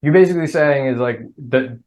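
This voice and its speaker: A man's voice: mid-range voice, brisk, a little mellow. A middle-aged man with a New Jersey accent, talking casually as a podcast guest. He rambles and often restarts his sentences. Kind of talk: casual chat.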